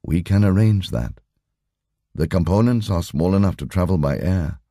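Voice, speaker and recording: A man voicing a calm, formal lama in an audiobook story, speaking in a measured, unruffled way. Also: low-pitched, no echo, clean studio sound. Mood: patient, formal. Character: calm, imperturbable male Tibetan lama explaining patiently.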